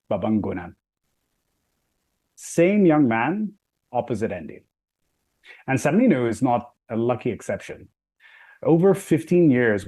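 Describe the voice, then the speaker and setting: A man's voice: mid-range voice, dark tone. A man speaking as a panelist, delivering a prepared, rhetorical speech in short, punchy phrases. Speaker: panelist, head of an agriculture company.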